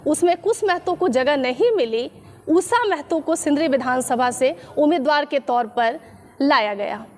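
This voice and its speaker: A woman with a clearly high voice conducts a political interview. She speaks as a news reporter, framing pointed questions to her guest.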